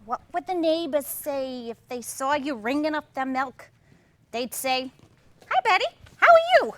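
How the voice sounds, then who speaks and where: An actress playing a chatty, wistful, put-upon 1950s housewife in a staged play reading, with an animated, expressive delivery. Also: female voice, sits high, unhurried, exaggerated voice. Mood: playful. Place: theatre stage.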